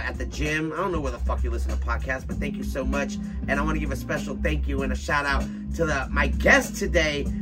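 A man hosting a cannabis podcast, joking loudly and swearing freely. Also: a little high. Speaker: podcast host. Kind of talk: casual cannabis podcast chat.

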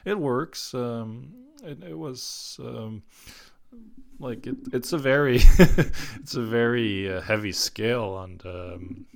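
A man co-hosting a casual film podcast, halting and full of restarts, laughing often. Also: medium pitch, vocal fry. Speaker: podcast co-host.

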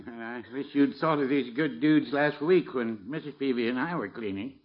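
A mild, dry small-town druggist from a vintage radio comedy played by a man. His voice is mid-pitched. The old recording is muffled. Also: moderate pace, natural voice. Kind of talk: 1950s radio sitcom acting.

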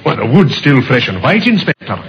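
An actor playing a dutiful, observant British police sergeant in a radio drama, deferential and plain-spoken, on an old recording. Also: male voice, mid-range voice, sing-song, brisk, highs cut off, crowd noise, close to an everyday voice. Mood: curious. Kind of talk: 1950s radio drama acting.

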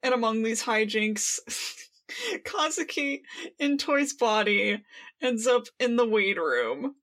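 A woman co-hosting a casual fan podcast, laughing as she talks and excitedly acting out the lines she quotes. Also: on the high side, slow. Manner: giggly, laughs while talking. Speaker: podcast co-host.

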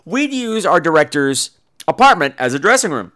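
A man's voice: a little high, sing-song, normal speed, sharp tone. An American man hosting a podcast, telling comic personal stories in a theatrical, campy and profane manner. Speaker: podcast host.

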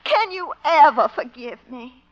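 A woman's voice: high voice, big pitch swings, a little slow, very noisy. A woman playing a flighty, coy sweetheart in a 1950s radio sitcom, in a cutesy, melodramatic manner. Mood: coyly apologetic. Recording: muffled old radio recording.

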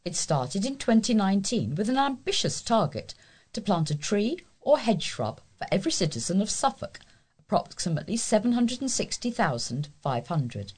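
Husky woman reading local news. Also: medium pitch, very animated, moderate pace, darkish tone. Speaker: volunteer newsreader. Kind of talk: scripted local news reading for a talking newspaper.

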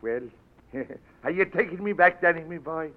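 Guy doing a big drunk informer, blustery and stammering, highish voice, muffled old radio. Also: lively intonation, moderate pace, close to an everyday voice. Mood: flustered. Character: brawny drunken male informer, boastful and panicky. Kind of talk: 1940s radio acting.

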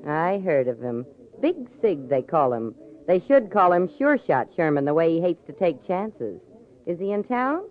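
An actress playing a flirty, chatty cigar stand clerk in a vintage radio crime drama. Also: female voice, lowish voice, big pitch swings, moderate pace. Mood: gossipy. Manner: sassy, chatty. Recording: tinny vintage radio broadcast.

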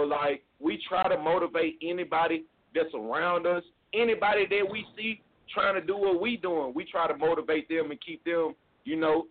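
Man, a label guest, easygoing phone-in talk, a bit high voice, tinny line. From Mississippi. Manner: laid-back, repeats "you know what I'm saying". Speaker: music label co-owner guest. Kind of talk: casual phone interview.

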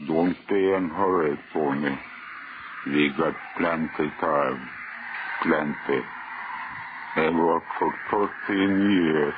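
Slow, deep, vengeful drowned ghost. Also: male voice. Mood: taunting. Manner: slow, taunting, mock-friendly. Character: vengeful drowned man haunting his killer, slow and menacing. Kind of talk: 1940s radio horror acting. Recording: muffled, noisy old radio recording.

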